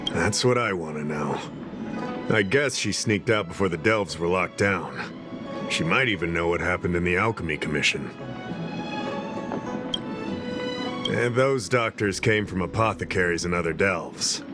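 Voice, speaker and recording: An actor playing a composed official in a video game, explaining things in a measured, earnest way. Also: male voice, sits low, loud music behind the voice, natural voice. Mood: concerned, explanatory. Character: composed male Realm Keeping official briefing an ally.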